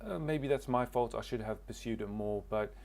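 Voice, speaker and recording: A man's voice: mid-range voice, moderate pace, creaky, a little mellow, slight background noise. A man from Cornwall, introducing himself to camera in a casual, hesitant way, often stopping to search for words. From Cornwall, England. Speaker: language learner.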